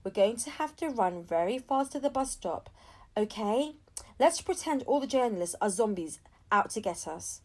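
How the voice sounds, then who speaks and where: A woman's voice: fairly high pitch, expressive, moderate pace, a little mellow. A woman voicing a loving, decisive mother talking to her young child in a storybook. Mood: playful, urgent.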